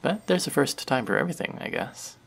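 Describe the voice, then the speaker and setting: A man's voice: medium pitch, sing-song, a little fast, creaky, fairly bright tone, slight background noise, exaggerated voice. A man playing a chatty young barista who teases and rambles in a friendly way, in a scripted audio roleplay. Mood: playful. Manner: playful, rambling, teasing.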